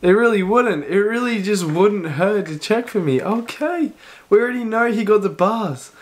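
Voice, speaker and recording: Guy with a cold doing a song reaction, bit hoarse, fairly high voice, just chatting. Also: lively intonation, moderate pace, very noisy. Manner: chatty, talks over the song with short reactions. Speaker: reaction channel host. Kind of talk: casual music reaction chat.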